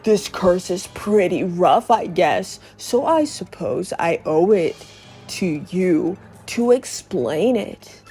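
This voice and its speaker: A woman voicing a gleeful, evil cursed creature from a video game, taunting and gloating with drawn-out cackles. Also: lowish voice, lively intonation, warm tone, faint music behind, pitched below the performer's own voice. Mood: grudging explaining. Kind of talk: dramatic video game dialogue reading.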